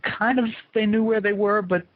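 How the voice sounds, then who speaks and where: A man's voice: sits high, steady tone, normal speed, dry recording. An American man hosting an interview, asking questions in an informal, rambling way as he thinks aloud. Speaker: interviewer, show host. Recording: thin, dry phone-like sound.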